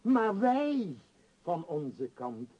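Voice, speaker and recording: An actor playing a powerful middle-aged tycoon in a radio play, bitter and declamatory, longing for lost freedom. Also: male voice, high-pitched, very animated, extremely slow, warm tone, breathy edge, highs cut off, heavily acted. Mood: bitter, impassioned. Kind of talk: theatrical radio play acting.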